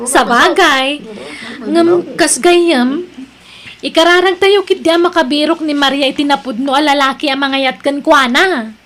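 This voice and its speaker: A woman's voice: high voice, a little slow. A woman playing a caring, devout, chatty friend in an Ilocano drama, with an Ilocano accent. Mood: caring, inquisitive. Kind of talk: Ilocano radio-style drama acting.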